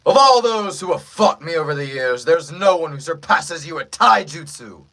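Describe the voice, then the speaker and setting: A man's voice: fairly high pitch, expressive, moderate pace, sharp tone, voice pushed up. Man acting a thrilled, powerful anime villain. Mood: excited menace. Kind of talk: anime fan dub performance.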